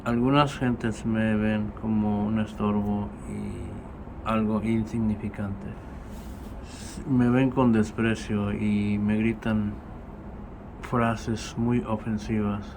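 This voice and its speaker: A man with a low, fairly even voice recites his own poem as a poet would at a reading. A clear hum, like air conditioning or traffic, runs behind him. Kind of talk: spoken poetry reading.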